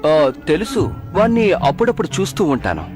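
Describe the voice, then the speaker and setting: A man's voice: high voice, wildly swooping pitch, fast. A man voicing an earnest, determined young heroic dragon rider in a cartoon dub. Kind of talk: animated series dub acting. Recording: music behind the voice.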